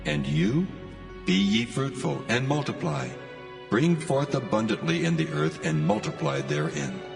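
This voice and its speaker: A solemn, commanding God played by a male actor with a heavily gravelly voice that rises and falls expressively. The declamation rings through long reverb over music in a dramatized scripture reading. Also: mid-range voice, exaggerated voice. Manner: solemn, declamatory, archaic phrasing.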